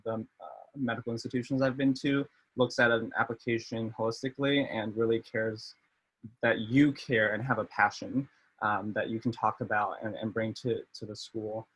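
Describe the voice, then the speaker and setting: A man's voice: fairly low pitch, little pitch movement, normal speed, fairly bright tone, husky, poor audio. Rambling young man on a video call. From Maryland, USA. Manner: rambling, many fillers and restarts. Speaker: medical student panelist. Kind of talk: casual panel talk.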